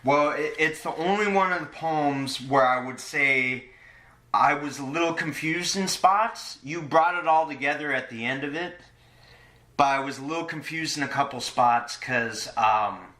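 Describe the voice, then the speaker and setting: A man hosting a casual podcast interview, haltingly feeling his way into each question. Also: medium pitch, bright, forceful tone.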